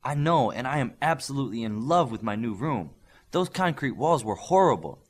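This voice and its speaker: A man's voice: medium pitch, big pitch swings, moderate pace. A man voicing a sweet, competitive young superheroine with a French accent in an audiobook.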